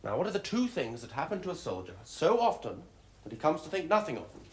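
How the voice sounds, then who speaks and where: Man acting a wry soldier captain, animated delivery. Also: a little high, quick pace. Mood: matter-of-fact, teasing. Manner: matter-of-fact, wry, gently teasing. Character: dry, pragmatic soldier captain, amused and gallant. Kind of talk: stage comedy acting.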